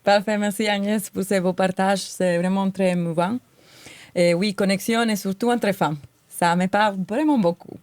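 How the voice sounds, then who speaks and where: A woman's voice: mid-range voice, steady tone, normal speed, a little mellow, no room sound. Earnest Colombian woman in her forties. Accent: Colombian Spanish. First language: Spanish. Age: 40s. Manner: earnest and heartfelt. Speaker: podcast co-host. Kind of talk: podcast talk from prepared notes.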